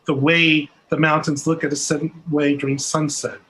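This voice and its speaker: A man's voice: a little high, level tone, normal speed, a bit bright. A middle-aged man from Southern California with a Californian American accent, casually moderating a panel on a video call. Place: home room, video call. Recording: noisy video call room sound.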